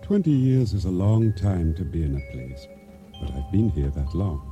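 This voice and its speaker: A man's voice: low-pitched, expressive, normal speed, warm tone, lo-fi sound, faint music behind. An actor playing a wry, reflective middle-aged island fisherman turned storekeeper in a radio drama. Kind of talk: radio drama acting, first-person narration.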